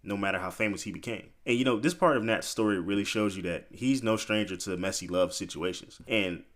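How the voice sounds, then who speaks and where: A man's voice: lowish voice, brisk, a bit bright. A man narrating a biographical story as commentary, informal and opinionated, speaking straight to the listener with conversational asides.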